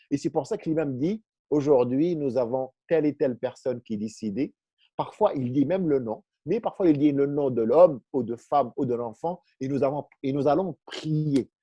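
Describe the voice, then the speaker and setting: A man's voice: fairly high pitch, warm tone, no echo. A man speaking earnestly on a panel about religion, explaining at length with frequent restarts.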